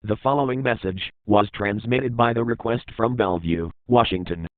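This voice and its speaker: A man's voice: lowish voice, moderate pace, no echo. A man reading a formal scripted emergency announcement, with a tinny, narrow-band sound.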